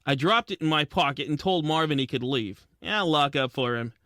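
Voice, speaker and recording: A man's voice: on the high side, animated, normal speed, pushed and bright, no room sound, studio quality. An actor playing a plucky, wisecracking penguin detective who tells his case in slangy hard-boiled style for a comic audio drama. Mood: narrating, wry. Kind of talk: scripted comic noir narration and dialogue.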